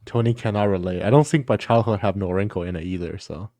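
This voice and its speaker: A man's voice: fairly low pitch, quick pace, dark tone, no echo. A man co-hosting a podcast in casual, conversational back-and-forth. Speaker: podcast co-host. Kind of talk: casual chat.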